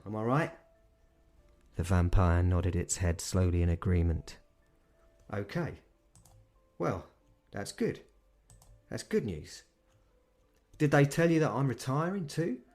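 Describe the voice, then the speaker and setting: A man's voice: medium pitch, lively intonation, unhurried, pauses often, darkish tone, soft background music, voice pushed up, lightly acted. A man voicing a blunt, unafraid middle-aged gang manager with a London accent who swears a lot. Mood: chatty.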